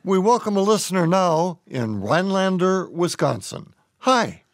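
A man's voice: sits high, very animated, unhurried, no room sound, studio quality. A man co-hosting a radio call-in show, answering briefly and reading out the phone number. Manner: brief agreeing replies, reads out the phone number.

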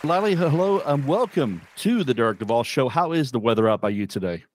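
A man's voice: mid-range voice, big pitch swings, fairly quick, darkish tone, some chatter behind, a little hiss or hum. A man hosting a podcast, giving emphatic, enthusiastic introductions and asking interview questions. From Wales. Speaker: podcast host.

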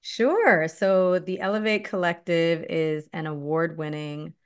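Animated woman with a lowish voice. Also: measured pace, smooth. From Belize. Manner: animated and laughing, acting out others' questions. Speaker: guest, leadership firm founder. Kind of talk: casual interview talk.

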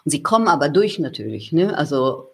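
A woman from Germany talks slowly through her ideas in an interview, stopping and restarting as she searches for words. Her voice sits a little low and has a husky edge. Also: big pitch swings. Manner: thoughtful, searching, many restarts and fillers. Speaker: interview guest. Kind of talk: reflective conversational interview.

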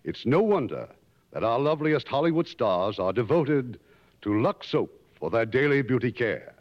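Animated radio announcer, long hall reverb. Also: male voice, medium pitch, moderate pace. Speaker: radio show host and announcer. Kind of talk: formal 1950s radio scripted presentation. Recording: muffled old radio broadcast in reverberant hall.

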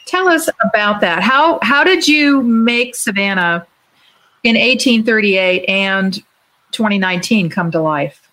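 A woman with a very bright, medium-pitched voice co-hosts a book panel. She asks her questions in a casual, conversational style. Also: expressive, measured pace, poor audio. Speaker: panel co-host, writer. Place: Savannah resident.

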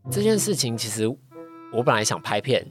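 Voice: mid-range voice, very animated, a little fast. A podcast guest with a Taiwanese Mandarin accent, telling personal stories casually in hesitant, self-correcting phrases. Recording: voice over background music. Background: background music.

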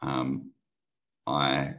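A man from Kent, a podcast guest, talking in a relaxed, enthusiastic way with a slightly croaky voice. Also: rumbling low, extremely slow. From Kent, England. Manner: relaxed, enthusiastic, laughs easily. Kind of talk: casual interview chat.

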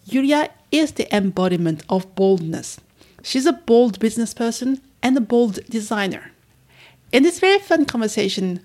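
A woman's voice: a little high, a little mellow. A woman hosting an interview, reading a scripted introduction of her guest and then asking questions. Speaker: interview host.

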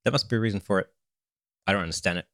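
A man's voice: low-pitched, very fast, a bit bright, no echo, studio quality. A British man hosting a casual interview, asking easygoing, rambling questions full of fillers. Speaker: podcast host.

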